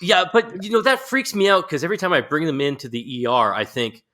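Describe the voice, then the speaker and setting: A man's voice: a little high, fast, sharp tone. A man hosting a podcast, chatting casually and informally with a guest in a blunt, self-deprecating way. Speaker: podcast host interviewing a guest.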